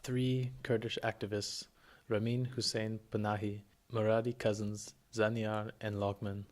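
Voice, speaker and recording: A man hosting a podcast, reading scripted background facts in a measured way. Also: on the low side, level tone. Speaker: podcast host. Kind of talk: scripted narration.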